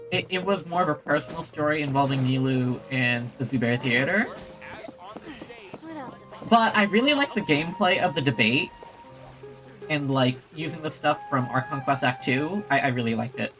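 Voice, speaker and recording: A game streamer chats casually over gameplay. The delivery is excitable, with a mid-range voice that jumps up and down. Faint game music sits underneath. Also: medium pitch. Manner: excitable exclamations, repeats words. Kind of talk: casual live gameplay commentary.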